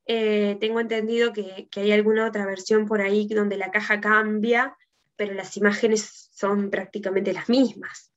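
A woman's voice: mid-range voice, level tone, normal speed. A woman with a Uruguayan Spanish accent giving an informal slide presentation as a teacher, recorded indoors on a video call. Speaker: tarot teacher giving a conference talk. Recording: dull, lo-fi video call audio.

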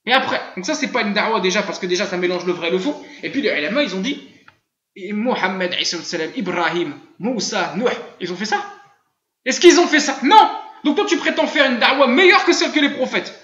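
A man with an Arabic-tinged French accent giving a live online religious lesson, animated, firing off rhetorical questions and chuckling as he goes. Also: high-pitched, fairly quick. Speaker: religious teacher. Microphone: voice chat room. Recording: roomy, dull lo-fi voice-chat audio.

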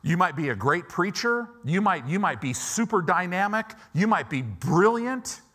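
A man's voice: high voice, big pitch swings, normal speed, pushed and bright. An American man in his fifties preaching a conversational sermon as a pastor, emphatic and repeating phrases to stress his points. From United States, Chicago and Southern California. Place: church auditorium stage, indoors. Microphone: lapel mic.

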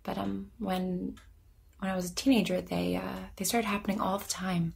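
An actress playing an anxious, lonely woman in her twenties, speaking haltingly and self-deprecatingly in a naturalistic audio drama. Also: mid-range voice, flat delivery, vocal fry, darkish tone. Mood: hesitant, reflective. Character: anxious, lonely young woman who vanishes through time.

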